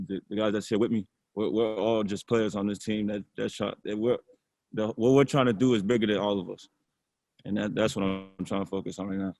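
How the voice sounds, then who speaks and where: Low-voiced young man, casual answers. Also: no room sound. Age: young adult. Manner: relaxed, repeats words while thinking. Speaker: college basketball player.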